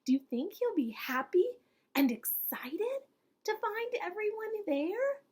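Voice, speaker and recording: Slow, animated, high-voiced woman reading a storybook. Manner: animated, slow, with questions to young listeners. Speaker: preschool teacher reading a picture book aloud. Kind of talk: expressive children's storytime read-aloud.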